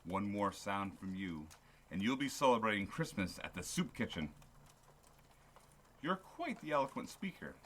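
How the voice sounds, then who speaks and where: A man's voice: on the low side, sing-song, normal speed, long pauses, fairly bright tone, slight background noise. An actor playing a cold, miserly older businessman in a radio play, curt, sarcastic and dismissive. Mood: scornful sarcasm. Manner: curt, scornful, sardonic.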